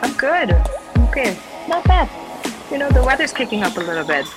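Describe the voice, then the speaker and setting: A woman co-hosting a podcast, chatting in a playful, somewhat halting way. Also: high voice, very animated, loud music behind the voice. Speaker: podcast co-host. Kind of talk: casual podcast chat.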